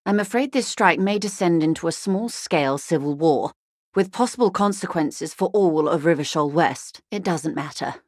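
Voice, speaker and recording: A genteel, worldly negotiator acted by a woman speaks in a slightly low female voice with cool, ironic detachment. Her delivery is measured and precisely worded, as scripted game dialogue. The sound is dry, with no room around it. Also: no echo, close to an everyday voice. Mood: composed, urgent.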